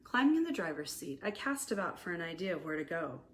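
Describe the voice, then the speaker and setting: A middle-aged American woman reads a first-person romantic memoir aloud in an expressive, deliberate storytelling manner. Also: fairly low pitch, a little hiss or hum. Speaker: author reading own novella aloud.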